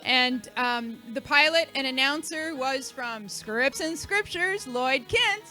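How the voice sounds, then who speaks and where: A woman's voice: sits high, animated, takes it slowly, over music. A woman hosting an event, giving an informal, chatty, joking thank-you speech to a live audience. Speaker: event host and organiser.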